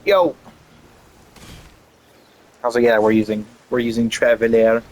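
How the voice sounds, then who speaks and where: A man's voice: mid-range voice, measured pace, a little mellow, a little hiss or hum, rough recording. A man giving casual playthrough commentary, rambling and thinking aloud as he goes. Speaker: gaming video host.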